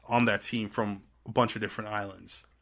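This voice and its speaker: A man's voice: on the low side, level tone, a little fast, vocal fry, a bit bright. A man from Trinidad chatting casually and playfully as a podcast guest. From Trinidad and Tobago. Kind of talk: casual chat.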